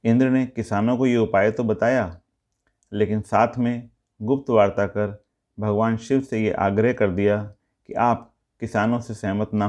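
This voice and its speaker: A man narrating a scripted moral story as a storyteller, in measured, deliberate short phrases. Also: lowish voice, darkish tone.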